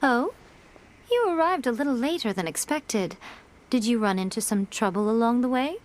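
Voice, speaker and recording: A woman voicing a sly, teasing, elegant fox shrine priestess in a video game, unhurried and smug. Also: on the high side, expressive, lightly acted. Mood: amused, smug. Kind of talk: video game character dialogue. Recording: game music behind the voice.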